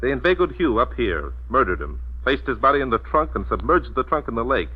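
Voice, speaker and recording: An actor playing a shrewd, self-assured male amateur detective in an old radio mystery, measured and pointedly polite. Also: mid-range voice, sing-song. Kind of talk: 1940s radio mystery acting. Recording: muffled old radio broadcast, clear hiss.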